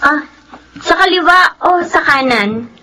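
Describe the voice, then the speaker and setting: High girlish Filipina youngest daughter. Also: expressive, unhurried, bright, forceful tone, full character voice, low-quality recording. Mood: curious. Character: sweet, cheerful blind young woman, youngest daughter. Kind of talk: Filipino radio drama acting.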